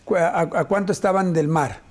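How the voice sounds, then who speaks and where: A man in his fifties hosting a TV interview in a studio, speaking in a measured way with frequent short affirmations. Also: high-pitched. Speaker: host. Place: TV studio set.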